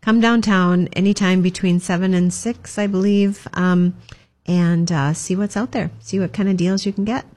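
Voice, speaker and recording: Brief: lowish female chamber rep, upbeat, promo tone, lots of ums. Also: fairly even intonation, normal speed, darkish tone. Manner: upbeat, filler-heavy, promotional. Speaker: chamber of commerce guest. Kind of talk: community announcements in casual chat.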